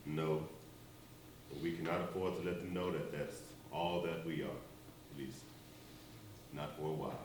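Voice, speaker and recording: An actor playing a grave, measured Baptist minister in a stage play, speaking slowly and reflectively. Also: male voice, extremely low pitch, steady tone, a little mellow. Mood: calm, reflective. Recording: echoey, distant stage recording, faint hiss.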